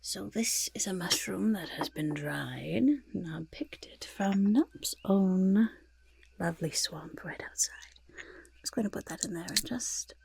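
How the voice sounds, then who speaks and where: A woman voicing a fussing, delighted goblin potion-maker in a soft-spoken ASMR roleplay, muttering and repeating words in a creaky, breathy half-whisper. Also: on the low side, unhurried, dry recording, exaggerated voice. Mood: pleased, delighted.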